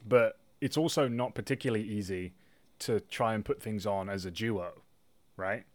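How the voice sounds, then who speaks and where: Guy hosting a podcast, lowish voice, dry sound, rephrases his questions as he thinks. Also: normal speed, dry recording. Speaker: podcast host, interviewer. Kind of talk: casual interview chat.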